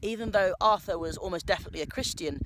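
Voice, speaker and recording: High-pitched man telling wry travel tales outdoors. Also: quick pace, background noise. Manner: wry, playful. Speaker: storyteller and travel vlogger. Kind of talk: storytelling travel narration to camera. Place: outdoors on a cycling trip.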